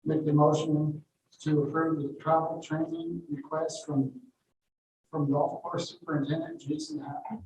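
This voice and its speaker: A council member reads out a motion, his voice worn as he loses it, with an offhand manner. His tone is slightly high yet soft and dark. The sound is distant and roomy, with faint background hiss. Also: measured pace. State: losing his voice. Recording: echoey hall from far off, faint noise.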